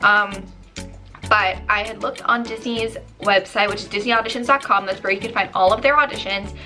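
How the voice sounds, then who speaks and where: A woman in her twenties from New Jersey, slightly hoarse and losing her voice, telling a story in a chatty vlog full of ums and likes. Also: medium pitch, fairly even intonation, brisk, bright, forceful tone, soft background music. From New Jersey, USA. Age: 20s. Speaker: YouTuber.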